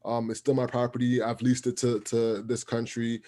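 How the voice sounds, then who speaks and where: A man's voice: medium pitch, little pitch movement, moderate pace, dark tone. A man hosting a podcast interview, asking long questions in casual slang. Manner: casual slang, enthusiastic reactions. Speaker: podcast host.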